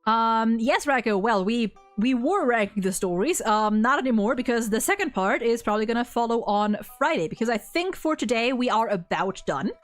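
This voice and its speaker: Rambling, chatty woman with a higher voice. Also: animated, moderate pace, few pauses, soft background music. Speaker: livestream host. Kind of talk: casual chat.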